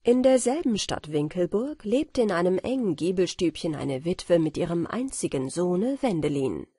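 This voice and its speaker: A woman with speech reads a fairy tale as an audiobook narrator, in a soft, measured and expressive storytelling manner. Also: lowish voice, breathy edge, dry recording, clean studio sound. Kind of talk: scripted fairy tale narration for bedtime listening.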